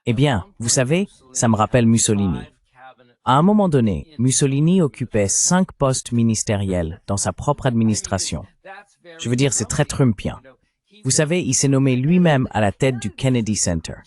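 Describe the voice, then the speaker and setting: A man's voice: medium pitch, very animated, normal speed, a little mellow. A man's AI dubbing voice giving a psychologist's answers in an interview, as a translated voice-over.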